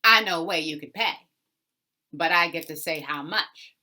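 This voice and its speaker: A woman's voice: low-pitched, moderate pace. A woman storyteller voicing a greedy, scheming male farm landowner in a folk tale, bossy and given to spluttering bluster. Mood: smug, bossy. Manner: loud, bossy, spluttering when thwarted.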